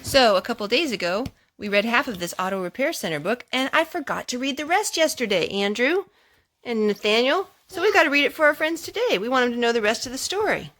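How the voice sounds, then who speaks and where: A woman's voice: on the high side, lively intonation, brisk, fairly bright tone. A woman reading aloud to young children at a storytime, speaking simply with asides to the little ones. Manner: simple, child-directed talk.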